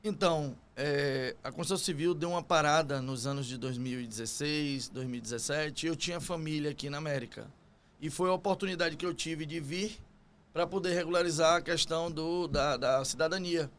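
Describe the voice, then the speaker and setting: A man's voice: fairly high pitch, brisk, fairly bright tone, a little hiss or hum. A man from Salvador, Brazil, with a Bahian accent, telling his story conversationally as an interview guest. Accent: Bahian Brazilian Portuguese. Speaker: podcast guest, construction business owner.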